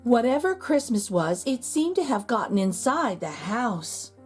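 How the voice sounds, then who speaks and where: A woman reading a children's picture book aloud in an expressive storytelling manner. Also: faint music behind. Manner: slow, expressive storytelling with lively pitch.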